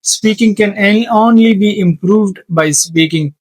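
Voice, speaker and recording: Slow-talking, earnest male tutor. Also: high-pitched, no echo. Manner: slow, earnest, with repetitions and restarts. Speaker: English teacher. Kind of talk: informal teaching monologue.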